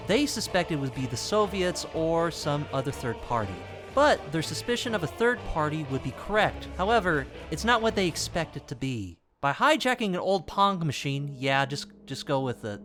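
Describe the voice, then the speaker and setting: A young man narrating a scripted video essay with casual, wry asides and dramatic pauses. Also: on the high side, very animated. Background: music.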